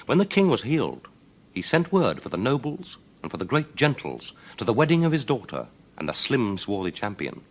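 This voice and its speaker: Highly animated male storyteller, rhythmic. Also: moderate pace. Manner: theatrical, rhythmic, declamatory. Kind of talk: dramatic children's storybook narration.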